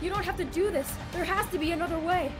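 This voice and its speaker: A woman's voice: very high voice, quick pace, over music. A woman voicing a hot-headed young male ninja in a table read, urgent and emphatic. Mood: pleading. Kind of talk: amateur table read acting.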